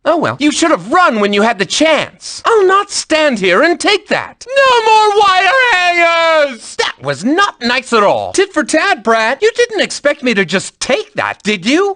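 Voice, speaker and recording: A woman's voice: sits high, a bit bright, exaggerated voice. An actress playing a theatrical, snarky woman spellcaster trading sarcastic taunts in game battle lines.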